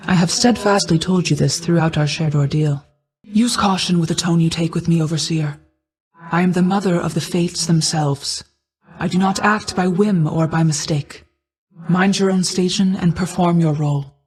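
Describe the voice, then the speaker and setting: A woman voicing an ancient, stately goddess of night in a video game, a stern but caring mother who speaks in a formal, measured, regal way. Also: fairly low pitch, a little mellow, close to an everyday voice. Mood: cold, commanding.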